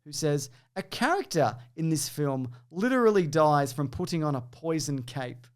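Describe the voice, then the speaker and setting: A man's voice: fairly high pitch, expressive, normal speed. A man hosting a casual quiz, bantering and explaining as he reads out film reviews. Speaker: quiz host.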